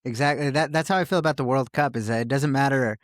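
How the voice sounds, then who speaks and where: A man's voice: mid-range voice, fast talker, no echo, clean studio sound. A man hosting a casual chat, mostly answering with short agreements in a laid-back way. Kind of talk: casual conversation.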